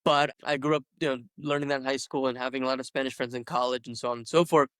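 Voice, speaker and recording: A man's voice: mid-range voice, smooth, no echo, studio quality. A man from Pennsylvania hosting a podcast, a dentist himself, chatting eagerly and jumping in with his own story. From Allentown area, Pennsylvania, USA. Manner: eager, animated, quick to jump in. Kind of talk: casual conversation.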